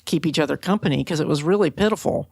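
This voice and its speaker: A woman's voice: sits low, fast talker, gravelly, a little mellow, dry recording, clean studio sound. A woman talking conversationally as a podcast interviewer.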